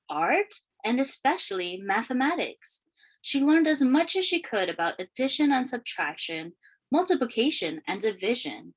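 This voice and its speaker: A woman reading a children's picture book aloud in an expressive, deliberate storytelling manner. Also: medium pitch, poor audio. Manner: expressive, questioning lifts and deliberate phrasing. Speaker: read-aloud storyteller, teacher.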